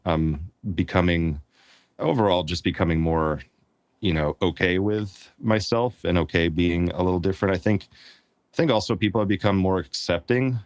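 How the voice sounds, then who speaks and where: A Czech-born man with a very low voice talks as a podcast guest, thinking aloud and circling back with fillers and repeated words. The sound is close and dry, with no room around it. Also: no room sound. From Czech Republic. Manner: thoughtful, hesitant, many fillers. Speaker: cinematographer, guest. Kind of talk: casual interview.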